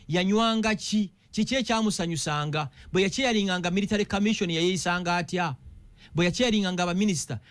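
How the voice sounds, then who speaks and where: Man, Ugandan accent, high voice, rapid speech, rhetorical questions, radio studio talk. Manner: animated, fires rhetorical questions. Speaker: political commentator. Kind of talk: radio talk show discussion.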